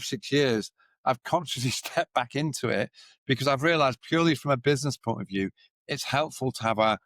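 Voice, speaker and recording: A man's voice: mid-range voice, fairly bright tone, no room sound. A man who founded and runs a company, a podcast guest speaking in a reflective way, thinking aloud with hedging fillers. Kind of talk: casual conversational interview.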